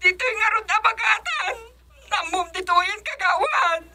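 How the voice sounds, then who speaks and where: An actress with an Ilocano accent playing a distressed, crying village wife pleading for help in a radio drama. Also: female voice, extremely high pitch, expressive, moderate pace, bright, forceful tone, exaggerated voice. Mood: tearful, frantic.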